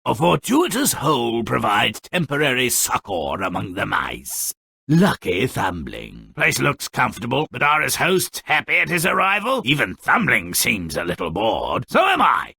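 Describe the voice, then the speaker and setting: A man voicing a gleefully nasty, sardonic gnomish narrator in a fairy-tale video game, with a raspy, gravelly voice and a theatrical, drawling delivery full of relish. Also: medium pitch, sharp tone, dry recording, clean studio sound, exaggerated voice. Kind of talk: theatrical scripted storybook narration.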